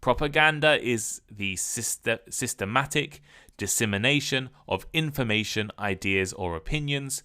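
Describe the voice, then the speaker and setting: A man hosting an English-learning podcast, reading a scripted lesson in a clear, measured way. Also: medium pitch, sharp tone, no echo, studio quality. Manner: clear, measured delivery that sounds read from a script. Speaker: podcast host and English teacher. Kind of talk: scripted educational narration.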